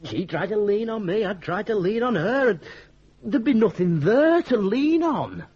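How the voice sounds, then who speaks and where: An actor in a British radio drama playing a gormless, reluctant, soft-hearted young son with a Northern English accent and a hesitant, stammering way of protesting. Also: male voice, high voice, animated, a little slow, husky, exaggerated voice. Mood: plaintive. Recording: dull, muffled old radio recording.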